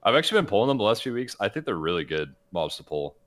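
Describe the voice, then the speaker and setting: A man's voice: lowish voice, big pitch swings, racing, fairly bright tone. A man co-hosting a gaming podcast, talking in a casual, conversational way. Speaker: podcast co-host.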